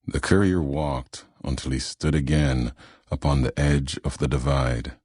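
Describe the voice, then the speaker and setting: A man playing a grim, vengeful wasteland courier in a video game, speaking solemnly in terse, cryptic pronouncements with a gravelly, raspy voice. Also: very deep voice, fairly even intonation, a little slow, a little mellow, no room sound, clean studio sound, full character voice. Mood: solemn, narrating. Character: grim, vengeful male wasteland courier, brooding philosophical antagonist. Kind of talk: video game character dialogue.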